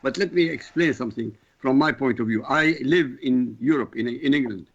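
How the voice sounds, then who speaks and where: A man from Iraq, Arabic his first language, talks with a gravelly, husky voice. He gives his views bluntly and forcefully, and the line sounds dull and muffled. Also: mid-range voice, normal speed. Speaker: show regular. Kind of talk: talk radio discussion.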